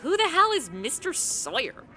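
A woman's voice: high-pitched, huge pitch swings, moderate pace, sharp tone, faint music behind, exaggerated voice. An actress playing a blunt, sarcastic, cynical modern woman with a protective streak in a comic radio drama. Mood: wry. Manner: sarcastic, wisecracking.

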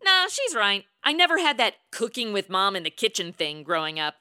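Woman acting sarcastic hard-drinking publicist, radio play, bright forceful voice, very animated, slangy, grouchy. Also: mid-range voice, fairly quick. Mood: flippant. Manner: sarcastic, irritable, slangy delivery. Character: sardonic hungover hard-drinking woman publicist and reluctant babysitter.

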